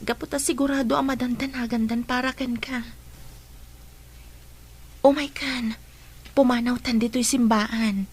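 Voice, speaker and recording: A woman's voice: a little high, steady tone, measured pace, pauses often, slightly rough. A woman acting a supportive, reassuring young friend in an Ilocano radio drama.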